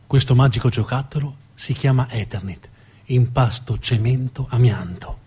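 A man performing dramatic scripted narration as a theatre storyteller, with a deliberate, emphatic delivery and dramatic pauses. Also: medium pitch, warm tone, slight background noise.

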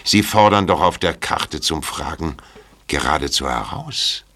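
A wry storyteller for a mystery radio play, voiced by a man. His voice is extremely deep yet crisp and forceful, measured and slow, with faint noise behind it. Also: animated, full character voice. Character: wry, measured male storyteller commenting on the case. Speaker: narrator. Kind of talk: scripted audio drama narration.

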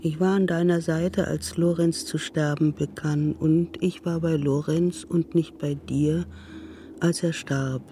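An actress playing a stubborn, grave elderly woman with a deliberate manner in a radio drama. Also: deep voice, steady tone, mellow, slight background noise. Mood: sorrowful, subdued. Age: older.

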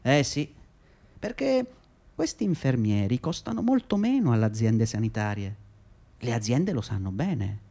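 An actor playing a condescending, self-justifying labour agency manager in a radio drama, with patronising, hesitant, repetitive speech. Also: male voice, medium pitch, very animated, moderate pace, gravelly, dark tone. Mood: smug, lecturing. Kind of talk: radio drama monologue and dialogue.

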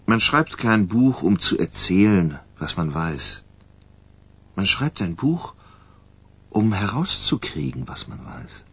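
An actor playing an earnest, persistent writer and loyal friend in a radio crime drama, a man with a husky voice. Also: sits low, sing-song, slow, a bit bright, exaggerated voice. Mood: earnest, insistent.

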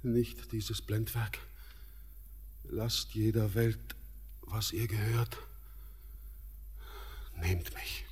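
An actor playing a delirious, yearning young man in a classical radio drama, with halting, declamatory delivery. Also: fairly low pitch. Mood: weary, yearning. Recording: long hall reverb, music behind.

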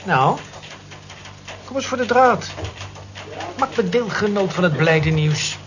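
Mocking office colleague, careless, brash, higher male voice, radio drama, clearly noisy old recording. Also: expressive, slow pace, muffled recording. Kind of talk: radio play acting.

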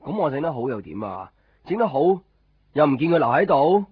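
A possessive, brooding young man in a Hong Kong Cantonese radio drama. He talks fast and curtly, demanding and easily angered. The recording is a dull old broadcast. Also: on the high side, big pitch swings, husky.

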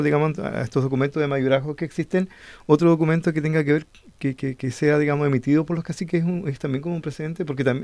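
Fast-talking interviewer man, dry sound. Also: on the high side, a little mellow, no room sound. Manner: long hedged questions, frequent filler words. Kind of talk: radio interview questions.

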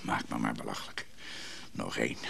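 A man's voice: rumbling low, steady tone, a little fast, gravelly, a bit bright, heavily acted. An actor playing a sullen, defiant man, muttering and stubborn, in a radio drama.

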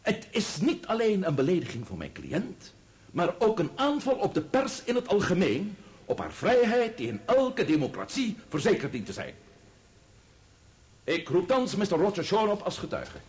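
An actor playing a formal, grave and indignant barrister in a radio drama, speaking in pompous, oratorical courtroom diction. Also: male voice, high-pitched, wildly swooping pitch, normal speed, a little mellow, husky, exaggerated voice.

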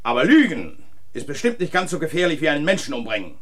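An actor playing a sardonic, self-assured police commissioner in a German radio crime drama, questioning with irony and deliberate authority. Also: male voice, on the high side, wildly swooping pitch, a bit bright, heavily acted. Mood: ironic, commanding. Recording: vintage radio play recording.

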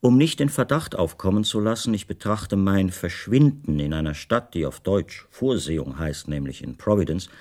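Low-voiced, reflective male writer narrating. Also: a little mellow. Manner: measured, precise, formal. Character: reflective male writer, a foreign guest recounting his disappearance. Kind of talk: literary radio drama first-person narration.

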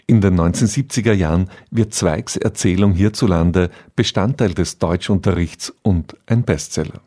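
A male radio presenter with a low, mellow voice that has a husky edge. He reads a scripted documentary introduction soberly and factually. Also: moderate pace.

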